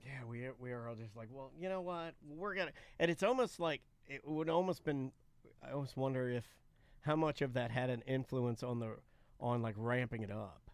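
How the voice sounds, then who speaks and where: A man's voice: mid-range voice, normal speed, clean tone, no echo. A man co-hosting a talk show, riffing casually and jokily, often acting out imagined lines. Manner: jokey, acts out imagined quotes.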